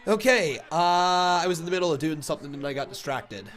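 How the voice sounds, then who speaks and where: A man with a cold and a plugged-up voice, chatting casually through a live reaction stream and breaking into excited play-by-play. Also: fairly high pitch, brisk. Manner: chatty, thinks aloud, bursts into excited play-by-play. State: congested from a cold.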